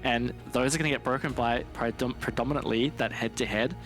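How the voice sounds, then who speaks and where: A man's voice: medium pitch, fairly even intonation, a little fast, fairly bright tone, soft background music, poor audio. A man giving analytical live commentary on an esports match.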